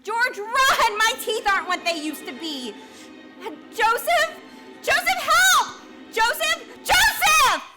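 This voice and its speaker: Woman doing flustered angel lady for a radio play, super high voice, really animated, music behind. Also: slow pace, full character voice. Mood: frantic. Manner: earnest, excitable, hesitant when flustered. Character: earnest, flustered female guardian angel.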